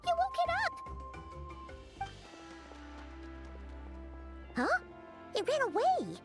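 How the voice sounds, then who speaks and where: A small, excitable fairy sidekick from an anime game. The voice is extremely high, squeaky and childlike, with bouncy, animated pitch. It chatters and exclaims over prominent background music. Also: female voice, normal speed, heavily acted. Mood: curious, surprised. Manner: chatty, exclaiming, refers to self in third person. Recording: music behind the voice.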